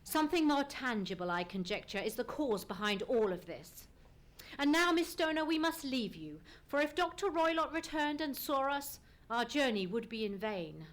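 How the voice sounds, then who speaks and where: An actor playing a commanding, precise gentleman detective in an old-time radio play, with formal, emphatic diction. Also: high-pitched, sing-song, moderate pace. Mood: grave, assured. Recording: live stage radio play recording.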